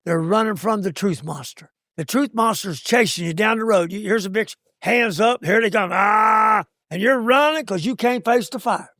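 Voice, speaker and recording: A man with a raspy voice, a coach co-hosting a podcast, talking in punchy, preachy motivational bursts. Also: high voice, normal speed, few pauses, sharp tone, no room sound, clean studio sound. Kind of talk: casual podcast chat.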